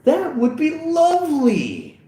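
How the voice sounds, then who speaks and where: A man's voice: extremely high pitch, huge pitch swings, takes it slowly, mellow, roomy sound, voice pushed up, exaggerated voice. A man voicing a sweet, polite but cheeky old lady in a read-aloud comedy script. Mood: sweetly grateful.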